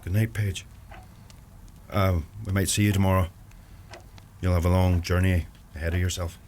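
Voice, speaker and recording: An actor playing a kindly young farmer in a radio play, with a Donegal Irish accent. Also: male voice, low voice, flat delivery, normal speed, darkish tone, close to an everyday voice. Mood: plain, neighbourly. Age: young adult. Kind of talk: stage-style radio play acting. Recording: noisy radio play with ambience.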